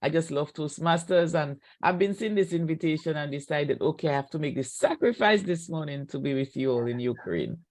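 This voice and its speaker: A woman's voice: deep voice, lively intonation, a little fast, hardly any pauses, darkish tone, no echo. A woman from Dominica introducing herself eagerly as a guest on a video call. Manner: enthusiastic, talks about herself. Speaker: meeting guest.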